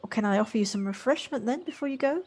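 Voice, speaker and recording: High-voiced, wistful woman played by an actress. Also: lively intonation, fast, a little mellow. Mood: apologetic, courteous. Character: Josie, wistful, polite, effusive woman rediscovering childlike freedom. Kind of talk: amateur audio drama acting. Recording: faint noise, home-recorded on personal device.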